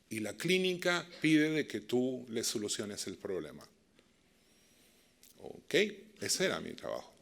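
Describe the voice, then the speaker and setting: A middle-aged man from Chile with a Chilean accent, giving a master class and telling a story to a live audience. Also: medium pitch. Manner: warm anecdotal storytelling with direct questions to the audience.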